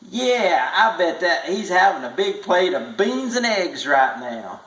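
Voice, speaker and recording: An earnest firefighter crewman in a storybook tale, read by a man. His voice sits on the high side and is colloquial, with room echo. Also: very animated, measured pace, a bit bright, heavily acted. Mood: hopeful. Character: firefighter crewmen hopefully speculating about missing comrade.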